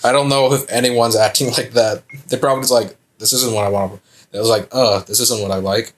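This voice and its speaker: A man's voice: mid-range voice, fairly even intonation, a little fast, a little hiss or hum. A young man in his twenties co-hosting a gameplay video, chatting casually with laughs and rambling restarts. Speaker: let's play co-host.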